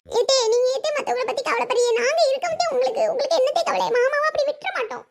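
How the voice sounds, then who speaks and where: A woman's voice: very high-pitched, racing, gravelly, a loud crowd. Woman acting a piercing, gushing young daughter-in-law. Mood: gushing comfort. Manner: effusive, melodramatic comforting. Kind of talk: amateur family drama dialogue.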